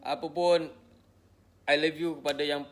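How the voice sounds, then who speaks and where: A man's voice: a little high, level tone, moderate pace. A middle-aged Malaysian man speaking informally to his followers in a repetitive, emphatic way, often checking in with short tags. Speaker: social media personality addressing followers. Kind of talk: informal live monologue to followers.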